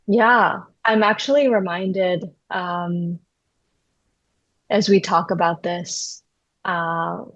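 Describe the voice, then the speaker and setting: A woman therapist from Indonesia hosts a reflective conversation, her voice sitting a little low. She thinks aloud with fillers and asides, and the sound is somewhat dull and lo-fi. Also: fairly even intonation, extremely slow, fairly bright tone. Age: young adult. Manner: thoughtful, searching, with many fillers and "right?". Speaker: therapist, podcast host.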